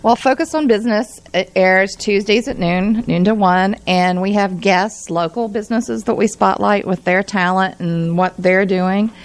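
A woman's voice: medium pitch, normal speed, hardly any pauses. A woman radio host explaining things conversationally in a studio, articulate and emphatic.

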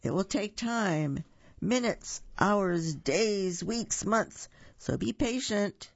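A storybook reader voicing a sly, fast-talking fox salesman with an excited, salesy patter. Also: mid-range voice, creaky, lo-fi sound, voice pushed up, exaggerated voice.

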